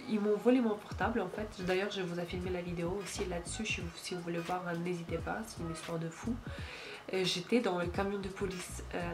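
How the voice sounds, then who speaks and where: A woman in her late twenties from Ukraine, speaking French with a Ukrainian accent, chatting casually to camera with many fillers and small slips. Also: fairly low pitch. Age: late 20s. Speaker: YouTuber. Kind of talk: casual Q&A vlog.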